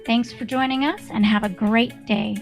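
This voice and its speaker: High, creaky woman announcer over faint music. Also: steady tone, normal speed. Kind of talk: scripted promotional outro narration.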